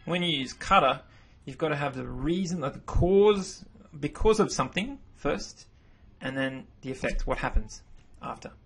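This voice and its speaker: Husky-voiced man teaching a language lesson. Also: fairly high pitch, moderate pace, hardly any pauses. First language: English. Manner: relaxed, self-correcting explanations. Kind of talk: narrated slideshow lesson. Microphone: voice-over.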